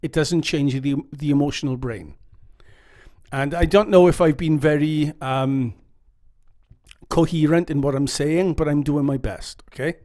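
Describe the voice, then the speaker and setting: A man's voice: on the high side, steady tone, a little slow, mellow. A man with a Welsh accent giving earnest, rambling advice straight to his viewers. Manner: rambling, earnest, reads quotes dramatically. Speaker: YouTube channel host. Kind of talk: casual advice monologue to camera.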